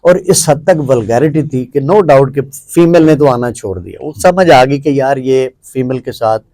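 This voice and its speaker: A Pakistani man works as an interviewer, building long questions out of repeated, emphatic points. His tone is warm and dark, with English words mixed in. The sound is dry, with very little room around it. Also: mid-range voice, no echo.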